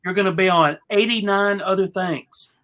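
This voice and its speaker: Woman moderating a casual panel, medium pitch. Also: normal speed, fairly bright tone.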